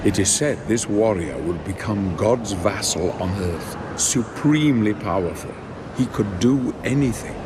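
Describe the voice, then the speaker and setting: An actor playing a composed, knowing warrior of a holy brotherhood, speaking formally and gravely in a cinematic game. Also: male voice, lowish voice, animated, measured pace. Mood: solemn, knowing. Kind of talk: dramatic cinematic game acting. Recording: loud ambient noise behind the voice.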